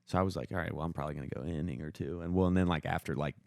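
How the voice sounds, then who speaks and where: Deep-voiced young American guy telling sports stories. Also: steady tone, very fast, gravelly, a little mellow, no echo, studio quality. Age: young adult. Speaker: podcast co-host and former high school baseball player. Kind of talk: casual sports storytelling.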